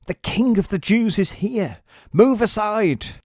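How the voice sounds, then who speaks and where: A loud, excitable townsperson played by a male narrator calls out eagerly from an ancient crowd. The voice is pushed high and strong, recorded dry, in storybook style. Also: takes it slowly, no room sound, full character voice. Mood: proclaiming. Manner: calling out eagerly.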